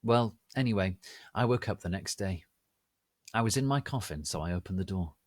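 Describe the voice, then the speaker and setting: Guy doing a dramatic story reading, lowish voice, really lively intonation, dry close sound. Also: normal speed, no room sound. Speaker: narrator reading fan fiction aloud.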